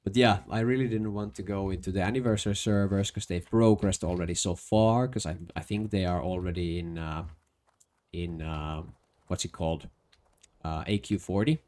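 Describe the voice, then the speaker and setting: A man's voice: low voice, steady tone, normal speed, darkish tone. A young man with a Finnish accent chatting casually while streaming a game, hesitant with many fillers and restarts. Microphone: desk microphone.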